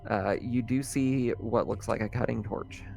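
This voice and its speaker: A man's voice: medium pitch, a little slow, vocal fry, soft background music, poor audio. A man running a tabletop roleplaying game as game master, describing scenes in a casual, improvised, conversational way. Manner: casual, conversational, thinking out loud.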